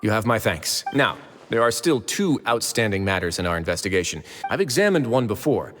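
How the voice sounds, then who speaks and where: A man playing a composed, dryly courteous duke and investigator in an anime game, speaking in a measured, formal manner. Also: on the low side, very animated, fairly bright tone, big-room sound. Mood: explaining, composed. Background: game music.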